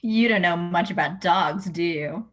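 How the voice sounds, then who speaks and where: A woman's voice: lowish voice, a little slow, fairly bright tone. A woman playing a blunt young female veterinarian in a parody radio play, brusque and quizzing. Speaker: comedy radio-play actor.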